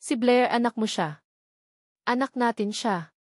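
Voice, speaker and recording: A woman's voice: a little high, a little slow, no echo. A tearful young mother in a romance audio story, acted by a woman narrator. She pleads in a frightened, guilty, trembling manner. The recording is dry. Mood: tearful, remorseful.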